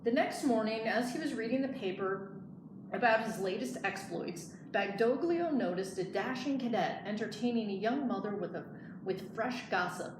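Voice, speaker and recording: A woman librarian reading a picture book aloud in an expressive storytelling style. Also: on the high side, normal speed. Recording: echoey room, clear background noise.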